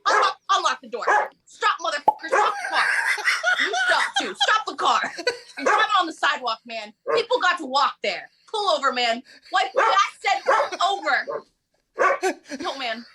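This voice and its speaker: A woman's voice: high-pitched, very animated, moderate pace, very noisy. A woman playing a brash male carjacker turned hostage in a comedic table read, with blustering street-slang delivery. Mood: panicked.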